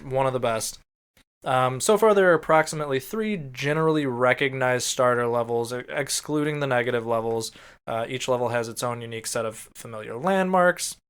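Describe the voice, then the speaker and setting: Guy with a clean clear voice, mid pitch, podcast host explaining stuff and chatting. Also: fairly quick. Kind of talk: explainer read from notes mixed with casual banter.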